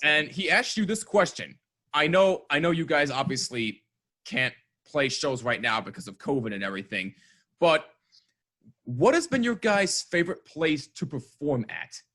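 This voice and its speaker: A man in his twenties co-hosting a podcast, reacting with excitement and reading out a listener question. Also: a little high, normal speed. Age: 20s. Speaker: podcast co-host. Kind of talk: casual chat.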